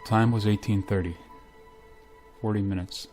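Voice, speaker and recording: A man acts out a weary space pilot in a vintage-style science-fiction radio play. The voice sits low and stays terse, grave and restrained, a stoic narrator with music underneath. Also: fairly even intonation, moderate pace, a little mellow, lightly acted. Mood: somber narration. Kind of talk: 1950s science-fiction radio drama acting.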